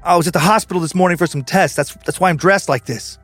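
A man voicing a desperate man who fights and stabs his own double, yelling through gritted teeth. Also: high-pitched, quick pace, no echo, soft background music. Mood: lying.

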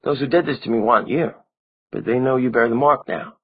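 A man reading an audiobook who voices a small, girlish teenage vampire girl with a soft, breathy, eerily sweet manner. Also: medium pitch, brisk, narrow, tinny audio, pitched above the performer's own voice, lightly acted. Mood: ominous.

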